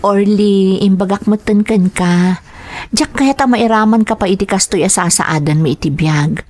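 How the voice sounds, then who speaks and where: A woman's voice: medium pitch, normal speed, a little mellow, lightly acted. A woman playing an earnest, dutiful young woman in an audio drama, with a Filipino accent. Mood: apologetic, earnest.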